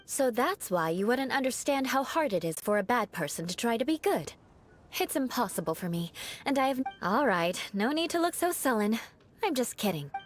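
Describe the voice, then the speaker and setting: A woman voicing a proud, aloof young noblewoman knight with a haughty, measured, teasing manner in a video game. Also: fairly high pitch, big pitch swings, slight background noise. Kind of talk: dramatic game dialogue.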